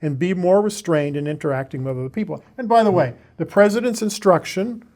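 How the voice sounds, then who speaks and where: High voiced guy in his 70s, pushy and emphatic, cuts in to argue. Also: darkish tone. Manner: emphatic, argumentative, quick to interject. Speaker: guest expert. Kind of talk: studio discussion.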